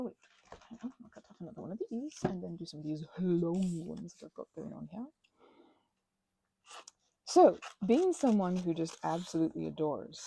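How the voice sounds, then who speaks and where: A woman's voice: on the low side, vocal fry, mellow, slight background noise, poor audio. A woman crafting and rambling casually in ASMR style, whimsically talking to herself and the things in her hands, sometimes dropping to a near-whisper. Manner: whimsical, talks to herself and objects. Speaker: crafting vlogger.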